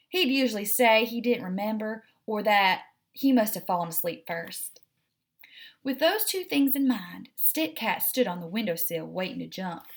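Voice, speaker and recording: A woman hosting a read-aloud, narrating a children's storybook expressively and addressing young listeners directly. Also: medium pitch.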